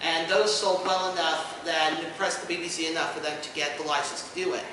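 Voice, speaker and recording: A man's voice: a little high, steady tone, fairly quick. Man, panel host, explanatory, dry humor, very echoey room, noisy background. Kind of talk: informal convention panel presentation. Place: indoor convention panel room. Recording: echoey room, distant mic, background noise.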